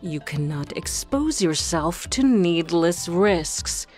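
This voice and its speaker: A woman playing a weary, cautious, pragmatic adviser in a fantasy audio drama, speaking in a measured, insistent way. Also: on the low side, expressive, a little mellow, faint music behind. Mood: exasperated, insistent.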